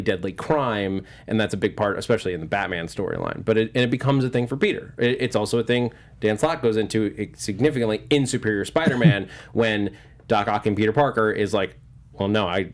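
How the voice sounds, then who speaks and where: A man's voice: lowish voice, a little fast. Casual male movie talk show host. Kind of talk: casual panel discussion.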